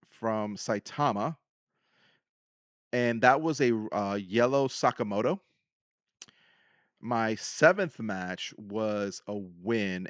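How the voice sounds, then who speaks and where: Low-voiced man, casual podcast host. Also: dry recording, clean studio sound. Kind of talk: casual solo recap of card game decks and matches.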